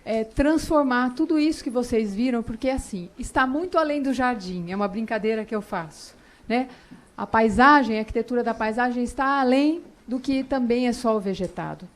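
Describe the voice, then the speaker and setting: A woman speaking on a conference panel in a large auditorium, expressive and emphatic as she answers questions. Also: on the high side. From Brazil. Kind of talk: enthusiastic panel talk.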